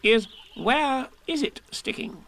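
A man's voice: very high voice, expressive, slow pace, fairly bright tone, a little hiss or hum, voice pushed up, exaggerated voice. A man reading a storybook aloud, voicing a fussy, self-important male rabbit with a pompous manner.

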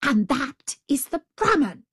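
A woman voicing a proud, short-tempered tiger in a children's story, loud, emphatic and impatient. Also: mid-range voice, very animated, slow pace. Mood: exasperated.

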